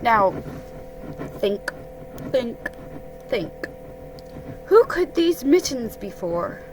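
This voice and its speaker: A woman voicing a kindly, slow-thinking storybook bear in a thoughtful, deliberate manner. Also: on the high side, big pitch swings, a little hiss or hum, exaggerated voice, poor audio.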